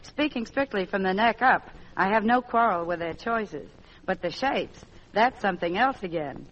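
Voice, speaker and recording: A woman's voice: mid-range voice, animated, moderate pace. A woman delivering scripted Hollywood gossip as a columnist guest on a 1940s radio show. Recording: dull 1940s radio broadcast recording.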